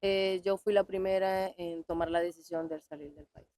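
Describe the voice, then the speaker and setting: A woman's voice: mid-range voice, level tone, normal speed, warm tone, dry recording. A woman from Venezuela with a Venezuelan accent, a guest answering interview questions hesitantly, with many fillers.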